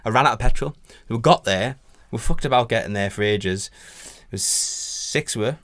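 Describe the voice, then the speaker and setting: A man's voice: mid-range voice, normal speed, fairly bright tone, no echo. A man, co-hosting a podcast, swearing and bantering in casual chat. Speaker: co-host.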